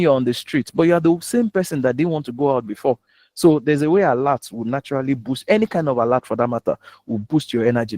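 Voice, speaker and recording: A man's voice: medium pitch, a little mellow, no room sound. A man with a Nigerian accent giving an instructional talk as a public speaking coach, emphatic and given to rhetorical questions.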